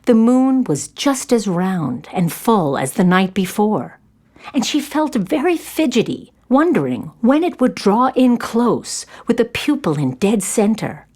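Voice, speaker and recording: A woman narrator reading a scripted children's story in an expressive storytelling manner. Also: fairly high pitch, unhurried.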